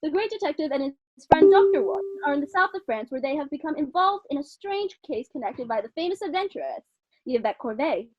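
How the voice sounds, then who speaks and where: A woman's voice: high voice, lively intonation, a little fast, no echo. A woman radio announcer giving scripted narration to introduce a drama. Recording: slightly dull, lo-fi sound.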